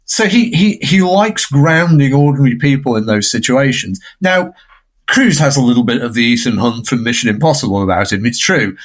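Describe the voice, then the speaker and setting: A man's voice: mid-range voice, animated, normal speed. A man giving conversational film analysis in a discursive, emphatic way, often checking for agreement.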